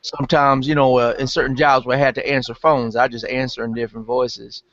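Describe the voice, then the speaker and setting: A man's voice: medium pitch, flat delivery, fairly quick, a bit bright. A man chatting bluntly and brashly on a video hangout. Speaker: game master panelist. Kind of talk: casual chat on a video hangout. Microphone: video call microphone. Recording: dull, dry call audio.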